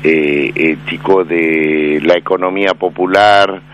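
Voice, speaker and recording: A man with an Argentine accent, commenting on a radio interview in a measured, hesitant way with many fillers. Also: sits low, fairly even intonation, fairly bright tone. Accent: Argentine Spanish. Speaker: radio commentator on Vatican affairs. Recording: dull lo-fi AM radio broadcast with faint noise.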